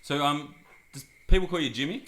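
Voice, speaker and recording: A man's voice: fairly high pitch, fairly quick, sharp tone. A man hosts a casual podcast, joking and rambling with lots of fillers. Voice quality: husky. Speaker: podcast host. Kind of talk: casual chat.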